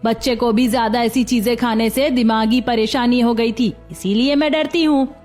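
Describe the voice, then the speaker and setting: Old grandmother for a cartoon story, woman voice actor: cautious, plain-spoken, admonishing, highish voice. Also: fairly even intonation, moderate pace, darkish tone, soft background music, lightly acted. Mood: worried. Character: cautious, bossy old grandmother of a poor family. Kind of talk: Hindi cartoon story acting.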